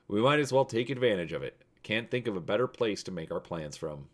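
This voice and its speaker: A gruff older prospector from a space adventure storybook , acted out by a man. He is practical and folksy, with blunt phrasing in a low register. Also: animated, brisk, close to an everyday voice. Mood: matter-of-fact.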